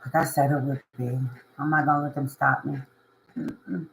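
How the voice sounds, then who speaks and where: Raspy-voiced woman, emphatic spiritual vlogger. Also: very deep voice, fairly even intonation, moderate pace, a little hiss or hum, poor audio. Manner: emphatic and repetitive, constant "you know what I mean". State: raspy, hoarse voice. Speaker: spiritual reader and life coach. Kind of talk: casual motivational monologue to camera.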